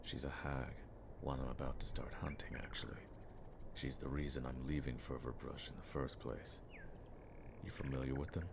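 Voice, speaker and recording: A seasoned monster hunter played by a man speaks in an extremely low, gravelly voice that stays fairly flat. He is measured, wry and world-weary, yet friendly, in the style of a fantasy audio roleplay. Clear background ambience sits behind him. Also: background noise. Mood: wry, scornful. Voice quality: very deep, gravelly. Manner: measured, wry, old-fashioned turns of phrase.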